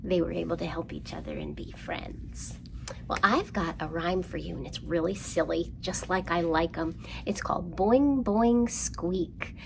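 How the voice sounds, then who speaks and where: A woman presenting a children's storytime, speaking in an animated, sing-song way and prompting young listeners through rhymes and counting. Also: on the low side, measured pace, vocal fry, noisy background.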